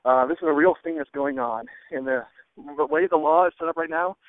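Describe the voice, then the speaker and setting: A man caller leaving a casual, rambling voicemail in hesitant, halting phrases over a phone line. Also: on the high side, dry recording. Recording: thin, dry phone line.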